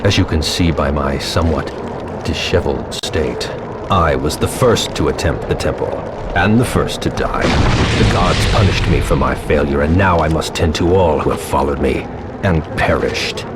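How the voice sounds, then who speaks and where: A man's voice: a bass voice, normal speed, heavy background noise. A man voicing a bitter, mocking undead corpse burner in a theatrical video game cutscene style. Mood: bitter, weary. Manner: sardonic, theatrical.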